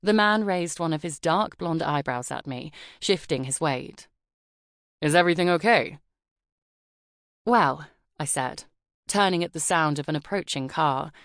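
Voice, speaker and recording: A woman reading a first-person audiobook in a measured, expressive way on a close studio mic. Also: low voice, no echo. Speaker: audiobook narrator. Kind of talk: scripted first-person narration.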